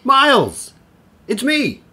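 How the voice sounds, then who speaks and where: An actor playing an eager, rambling, over-familiar middle-aged divorced dad in an audio drama. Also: male voice, extremely high pitch, slow, husky, full character voice. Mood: eager, loud. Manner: stammering, over-familiar, excitable.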